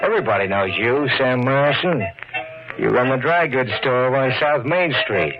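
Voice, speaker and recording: Menacing heavyset heckler, male actor, needling, animated pitch, 1940s radio drama with music behind. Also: normal speed, natural voice. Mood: mocking, menacing. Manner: taunting, slangy, needling. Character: heavy, menacing, mocking man in loud suit. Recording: muffled old radio broadcast with music.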